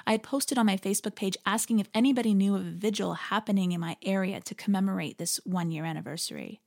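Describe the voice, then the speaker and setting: A woman hosting a podcast, delivering a reflective scripted monologue in an earnest, measured, articulate way. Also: lowish voice, vocal fry, darkish tone. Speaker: podcast host; interfaith leader.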